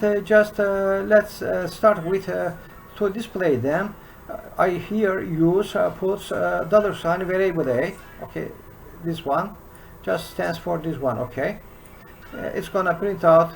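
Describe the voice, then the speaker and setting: A man in his sixties with a Turkish accent, teaching a programming lesson from his desk in a slow, halting way full of "uh" fillers. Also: on the high side, gravelly, poor audio. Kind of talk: screen-recorded coding lesson. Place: small room indoors.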